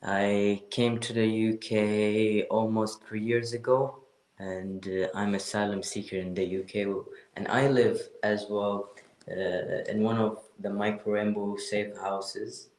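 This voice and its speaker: A man's voice: lowish voice, little pitch movement, slow pace, darkish tone, roomy sound. A man from Saudi Arabia, an asylum seeker, speaking hesitantly and with many fillers as a guest in an online interview.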